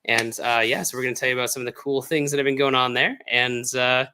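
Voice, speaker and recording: American guy hosting a live q&a, voice shot from being sick, rambly and upbeat, mid pitch. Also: level tone, fast, pushed and bright, clean tone. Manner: rambling, filler-heavy, upbeat. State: hoarse, lost voice from illness. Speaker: author hosting a livestream Q&A. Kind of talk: casual livestream chat.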